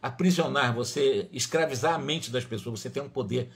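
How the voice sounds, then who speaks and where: A man's voice: mid-range voice, fairly quick. A Brazilian man with a mid-range voice delivers a religious study talk. He speaks as a lecturer, didactic and emphatic, moving between reading and explanation. He often repeats and rephrases his points. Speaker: spiritist lecturer.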